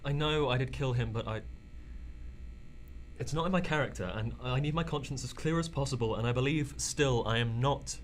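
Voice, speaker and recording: A man's voice: medium pitch, moderate pace, slight background noise, close to an everyday voice. An actor playing a resentful man being questioned as a murder suspect, speaking hesitantly and defensively in an amateur radio drama.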